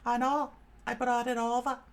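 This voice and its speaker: A man's voice: very high-pitched, flat delivery, normal speed, voice pushed up. Sly thief girl, coy sing-song lilt, voiced by a man in a manga read-aloud. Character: sly young woman thief, teasing.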